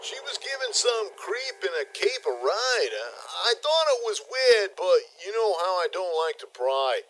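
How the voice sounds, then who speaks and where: Folksy, rambling milkman done by a man, bright and sing-song in pitch, nonchalant audio-comedy part. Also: big pitch swings, moderate pace, low-quality recording. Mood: gossipy. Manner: rambling, casual, chuckly. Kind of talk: comic audio play acting.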